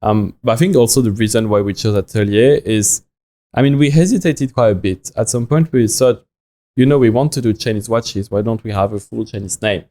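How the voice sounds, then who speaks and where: A young man with a French accent talks in a podcast interview, stumbling and restarting as he works out his thoughts. His voice sits a bit low with a mellow, soft tone. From France. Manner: halting, many restarts and fillers. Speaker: watch company founder.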